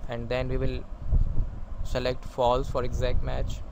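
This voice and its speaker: A man giving a spoken software tutorial in a halting manner, pausing often to hesitate as he explains each step. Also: mid-range voice, completely flat delivery, gravelly, noisy background. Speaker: instructor. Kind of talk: spoken software tutorial over screen recording.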